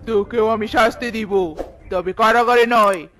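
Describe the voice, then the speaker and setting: Man as a haughty high-pitched cartoon king. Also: measured pace, music in the background. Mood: contemptuous, commanding. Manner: imperious, scolding, commanding. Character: haughty, cruel king, contemptuous of the poor. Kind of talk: dramatic cartoon voice acting.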